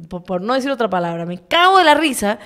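Ecuadorian woman ranting about politics, high pitched, swears, desk mic on a video call. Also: very animated, fast talker, fairly bright tone. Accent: Ecuadorian Spanish. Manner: indignant, emphatic, swears. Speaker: show host. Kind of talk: heated political commentary. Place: home studio, indoors. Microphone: desk mic on stand, video call.

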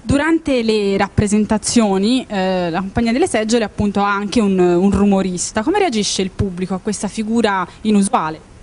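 A woman's voice: mid-range voice, normal speed, a little mellow. A woman reporter asks interview questions for a news feature into a handheld microphone.

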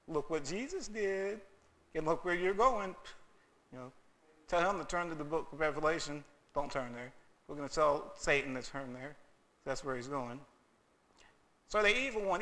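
A man's voice: sits high, sharp tone. A man preaching a sermon in a church, earnest and emphatic, often acting out quoted voices. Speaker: pastor. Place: church sanctuary.

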